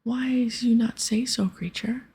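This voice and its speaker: A woman as a breathy, dim-witted gruff ogre. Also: on the high side, fairly even intonation, unhurried, mellow, voice pushed up, heavily acted. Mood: blunt, impatient. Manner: blunt, simple-minded. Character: dim, gruff ogre speaking broken English. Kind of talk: game dialogue read aloud in ASMR.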